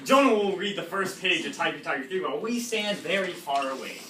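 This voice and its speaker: Male comedy performer, very high animated voice, readers' theatre, echoey, audience behind. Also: fairly quick. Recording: echoey room, distant mic, audience noise.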